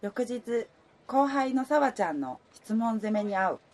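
A woman's voice: on the high side, sing-song, measured pace, darkish tone. A woman playing a level-headed heroine in her thirties who also narrates her romance in a Japanese radio drama. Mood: reflective narration.

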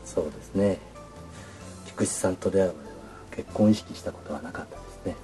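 A man's voice: low-pitched, a little fast, warm tone, soft background music, lightly acted. A man playing a polite, shy, earnest suitor in a romantic radio drama, with courteous and slightly bashful phrasing. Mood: earnest, flirtatious.

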